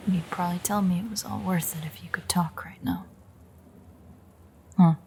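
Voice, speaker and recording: A woman voicing a bitter, threatening female vampire in an audio roleplay, sardonic and foul-mouthed, in a breathy, creaky murmur. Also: lowish voice, fairly even intonation, moderate pace, mellow, a little hiss or hum, natural voice. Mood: angry, taunting. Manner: sardonic, profane, taunting. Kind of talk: intimate audio roleplay monologue.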